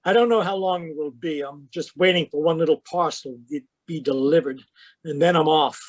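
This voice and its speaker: Man voicing a crusty jittery old man, gruff, high voice, dry close mic. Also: moderate pace, dry recording, lightly acted. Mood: irritable. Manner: gruff, irritable, suspicious. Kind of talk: radio drama read live over video call. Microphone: home microphone on a video call.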